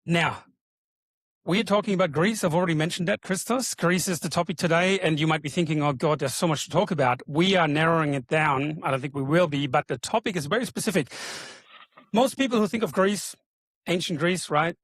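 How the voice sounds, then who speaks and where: Podcast host guy, rambly chatty, higher voice, very dry mic. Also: a little fast, fairly bright tone, no room sound. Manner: chatty, rambling, frequent ums. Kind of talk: casual podcast interview.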